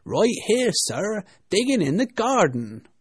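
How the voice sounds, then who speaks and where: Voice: medium pitch, big pitch swings, slow, husky, dry recording, studio quality, voice pushed up, exaggerated voice. A narrator voicing a meek, deferential male animal servant who pleads reluctantly in a storybook reading.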